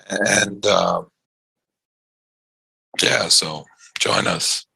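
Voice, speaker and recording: A man hosting a live trading chat on a video call, his voice worn from yelling, rambling and stammering through repeated words. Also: low voice, fairly even intonation, extremely slow, no room sound. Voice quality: gravelly and husky. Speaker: trading show host.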